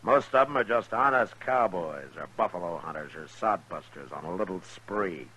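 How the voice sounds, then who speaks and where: A man playing a calm, weary, steadfast frontier marshal with an American accent and measured, understated delivery in a 1950s radio western. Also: sits low, husky, exaggerated voice, poor audio. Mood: calm, dry. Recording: vintage 1950s radio recording.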